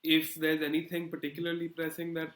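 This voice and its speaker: A man working as an editor answers interview questions conversationally, in a measured, hesitant and thoughtful way. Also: fairly high pitch, monotone, fairly bright tone. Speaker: magazine politics editor being interviewed. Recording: echoey room sound.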